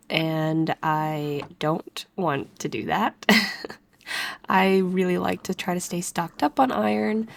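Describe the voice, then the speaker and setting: Slow, stuffy-voiced woman chatting through gameplay. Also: on the low side, slight background noise. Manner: chatty, laughs softly between thoughts. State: stuffy and congested from a cold. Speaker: let's play host. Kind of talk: casual gameplay commentary.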